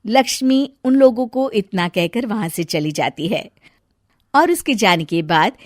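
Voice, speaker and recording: A woman narrating a scripted story in an expressive storyteller's manner. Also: medium pitch, a little slow, no echo, clean studio sound.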